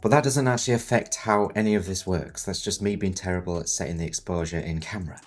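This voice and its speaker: A deep-voiced man in his thirties, husky and croaky with a cold, rambles through a tutorial in his home studio. He jokes and mocks himself as he goes, and soft music plays faintly behind him. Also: fast talker. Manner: rambling, joking, self-mocking. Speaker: video tutorial host. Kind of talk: casual chatty tutorial. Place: home studio set in a new house.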